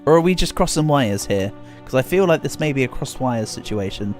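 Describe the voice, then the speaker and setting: A man's voice: medium pitch, animated, fairly quick, darkish tone, faint music behind. Chatty congested man streaming a game. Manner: chatty, rambling, self-deprecating. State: congested, feeling a cold coming. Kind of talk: casual game livestream chat.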